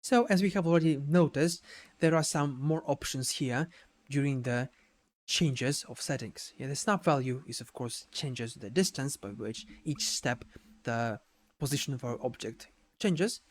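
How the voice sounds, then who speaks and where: A man giving an unscripted software tutorial in a halting way, repeating words and adding little checking tags as he explains each step. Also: medium pitch. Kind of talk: unscripted screen-share tutorial narration.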